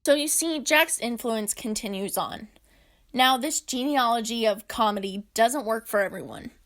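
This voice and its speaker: A woman's voice: a little high, moderate pace, a bit bright. A woman presenting a video essay in scripted, explanatory narration.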